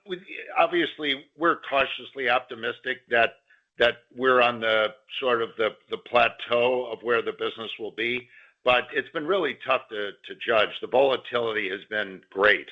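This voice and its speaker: Male executive, medium pitch, slightly muffled. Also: measured pace. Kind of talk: answering analyst questions on earnings call. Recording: slightly muffled conference-call audio.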